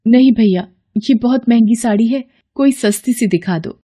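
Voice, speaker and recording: A woman's voice: fairly high pitch, moderate pace, warm tone, lightly acted. A woman playing an ordinary, matter-of-fact female shopper in a moral story. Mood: brisk, matter-of-fact. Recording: faint music bed.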